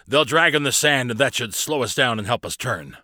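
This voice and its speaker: A man voicing a seasoned, commanding pirate first mate in a storybook, giving brisk instructions. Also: medium pitch, expressive, sharp tone, no echo, studio quality. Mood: urgent, commanding.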